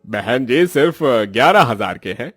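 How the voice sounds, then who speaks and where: Husky, brusque shopkeeper played by a man. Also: medium pitch, lively intonation, measured pace, fairly bright tone, no room sound, clean studio sound, soft background music. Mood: businesslike. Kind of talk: cartoon voice acting.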